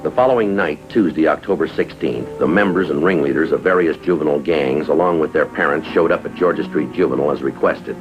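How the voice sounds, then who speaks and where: Low-voiced American detective sergeant, deadpan, clipped, stern, muffled vintage TV soundtrack. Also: male voice, quick pace, few pauses. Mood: matter-of-fact narration. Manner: clipped, matter-of-fact, deadpan. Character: stern, methodical male police detective sergeant. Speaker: narrator and lead police sergeant. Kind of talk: 1950s police drama acting and narration. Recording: muffled 1950s TV soundtrack, music underneath.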